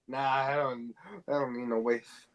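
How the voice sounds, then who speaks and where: A man's voice: mid-range voice, flat delivery, measured pace, crowd noise. A man co-hosting a podcast, chatting casually and jokingly in slangy speech. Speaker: podcast co-host.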